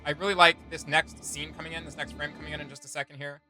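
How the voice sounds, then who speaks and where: Bright-voiced, enthusiastic young man. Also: on the high side, level tone, quick pace, faint music behind. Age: young adult. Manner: enthusiastic, slangy. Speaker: reaction video host. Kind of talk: casual reaction commentary. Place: indoor home studio room.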